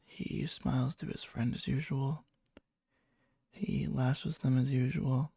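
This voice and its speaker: A man playing a needy yandere young man confessing love to a friend in a hushed, halting ASMR roleplay, often slipping into a whisper, breathy and gravelly. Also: mid-range voice, steady tone, dry recording, clean studio sound, exaggerated voice. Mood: tender, wistful. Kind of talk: ASMR boyfriend roleplay, soft intimate storytelling. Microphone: close mic, dry.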